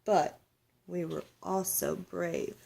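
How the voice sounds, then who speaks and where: Woman doing a soft solemn storybook grandma, brave, hushed, slow talking. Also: lowish voice, steady tone, gravelly, husky, exaggerated voice. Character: gentle, brave older grandmother. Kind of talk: children's storybook read-aloud.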